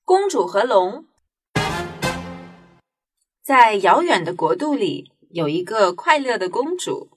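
A woman narrating a children's story in an expressive storytelling manner. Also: mid-range voice, slow, pauses often. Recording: music behind the voice.

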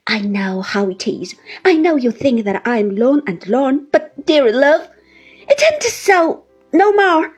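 A woman's voice: big pitch swings, drawn-out words, few pauses, darkish tone, slightly rough, faint music behind, heavily acted. A woman doing a sobbing, clinging old woman. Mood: tearful pleading. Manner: high wailing pleas, breaking into sobs. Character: clinging, tearful older woman pleading desperately.

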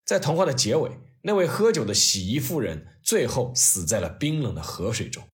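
A man narrating a scripted history story as its host, in an expressive, conversational storytelling manner. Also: medium pitch, moderate pace.

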